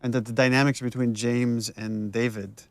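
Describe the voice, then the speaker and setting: A man's voice: medium pitch, level tone, normal speed, dry recording. A man commenting casually on game scenes as their director, reflective and often restarting his sentences.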